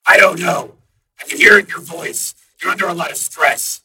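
Male narrator doing a trapped cop mind in a computer, storybook: synthetic, high and raspy, interrogating, noisy track. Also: moderate pace. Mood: suspicious. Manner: insistent, interrogating questions.

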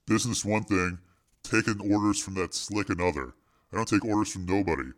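A man's voice: on the low side, brisk, pitched below the performer's own voice, lightly acted. A man voicing a menacing, cool and defiant gang leader in slangy, clipped street talk for an audiobook. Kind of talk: audiobook character dialogue.

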